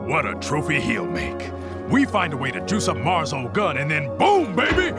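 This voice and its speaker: Wasteland tough guy: brash and hearty game warrior, raised pitch, hugely animated, over loud music. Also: normal speed. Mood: triumphant. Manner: swaggering, emphatic, slangy. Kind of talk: action video game acting.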